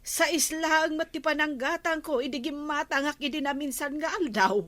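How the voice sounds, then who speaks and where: A woman's voice: sits high, moderate pace, a bit bright, dry recording. A woman playing a nosy, thrifty village captain's wife in an Ilocano comedy radio drama, conversational and questioning. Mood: chatty, haggling.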